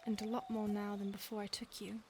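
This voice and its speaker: A woman voicing a centuries-old female vampire stalker in an audio roleplay, speaking softly and often in a whisper, calm and menacing. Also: medium pitch, fast, natural voice. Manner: soft, intimate, often whispered. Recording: noisy background hiss.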